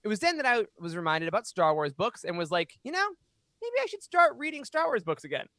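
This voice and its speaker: Man, thirties, high-pitched, podcast host, animated delivery, close mic, dry sound. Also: fast talker, dry recording. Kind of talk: reading aloud and hosting a fan podcast. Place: small home room, indoors. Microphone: close studio microphone on boom arm.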